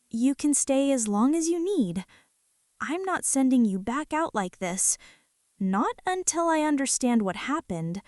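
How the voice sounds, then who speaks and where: A woman playing a gentle, nervous mother in a soft-spoken ASMR roleplay, tender, hushed and hesitant as she reassures and fusses. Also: high voice, dry recording, clean studio sound, exaggerated voice. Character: gentle, nervous mother fussing over a hurt boy.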